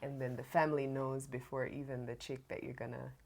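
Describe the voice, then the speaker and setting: A woman's voice: very deep voice, flat delivery, brisk, gravelly, darkish tone. A woman from Jamaica with a Jamaican accent, chatting casually as she hosts a quiz. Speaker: quiz host on a family vlog. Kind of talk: casual couples quiz chat.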